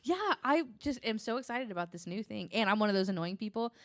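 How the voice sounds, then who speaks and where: A woman's voice: mid-range voice, fast talker. A woman co-hosting a podcast in casual banter, talking candidly and poking fun at herself. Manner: candid, self-deprecating. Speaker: podcast co-host.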